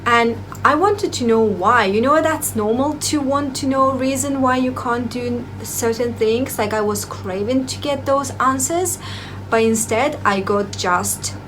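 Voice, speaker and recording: Slow, chatty woman from Azerbaijan. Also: a little high, fairly bright tone, a little hiss or hum, poor audio. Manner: playful, self-mocking, non-native phrasing. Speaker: vlogger. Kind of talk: casual personal storytelling to camera.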